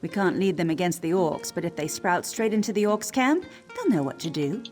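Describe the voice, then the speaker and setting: Woman playing a haughty, low-pitched wood elf leader. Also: lively intonation, fairly quick, a little mellow, close to an everyday voice. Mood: commanding, wary. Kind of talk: video game character acting. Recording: music behind the voice.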